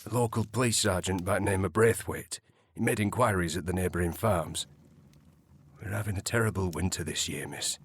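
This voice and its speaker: An actor playing a halting, respectful, sombre manservant with a husky voice in a period audio drama. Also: male voice, low-pitched. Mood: sombre, apologetic. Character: apologetic, deferential manservant of a great house.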